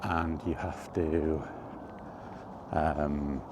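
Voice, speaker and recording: A middle-aged man chatting casually and reminiscing in a halting, thinking-aloud way, in short rambling phrases. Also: rumbling low, level tone, noisy background. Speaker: video creator and host. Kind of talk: casual reminiscing monologue about retro games. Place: outdoors while walking.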